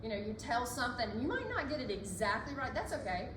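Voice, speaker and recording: A woman giving an earnest, encouraging public talk in a library meeting room, speaking loudly without a microphone. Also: fairly high pitch, very animated, quick pace. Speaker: community speaker. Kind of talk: public address. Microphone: not using the microphone. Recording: echoey room from far off, noisy.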